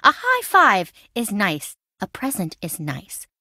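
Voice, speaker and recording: A woman playing an insecure, excitable, boy-crazy young best friend in a video game, dramatic and expressive. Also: mid-range voice, slow, dry recording, clean studio sound, full character voice. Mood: edgy, demanding. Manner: dramatic, expressive, emotionally swinging.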